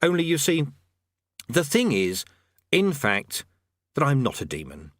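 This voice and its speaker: Man doing a nervous cowardly wizard, hurried and sarcastic, lively voice, dry close sound. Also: mid-range voice, dry recording, voice pushed up. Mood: nervous, evasive. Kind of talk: audiobook character voice.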